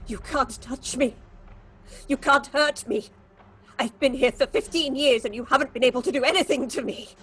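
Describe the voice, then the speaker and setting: A woman acting in an audio horror drama as a proud, haunted theatre director, husky and commanding in a clipped, theatrical way. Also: sits high, normal speed, fairly bright tone. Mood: defiant. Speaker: actress.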